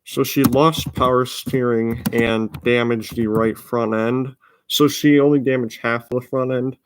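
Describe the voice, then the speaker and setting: Teen boy, Midwestern accent, rambling, middle pitch, casual racing chat. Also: fairly even intonation, measured pace, noisy background. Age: teens. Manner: rambling, self-interrupting, chatty. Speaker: racing video host. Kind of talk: casual commentary over race replays.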